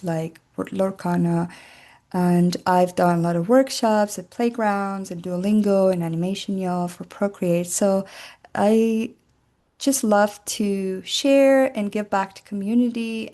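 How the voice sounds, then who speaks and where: A woman in her forties with an Iranian accent, talking informally about her own artwork. Her voice is mellow and mid-pitched, and she is easygoing and friendly, pausing often on fillers as she gathers her thoughts. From Iran. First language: Persian. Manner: friendly, unhurried, halting with frequent fillers. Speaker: artist presenting her work. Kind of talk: informal talk on her art process.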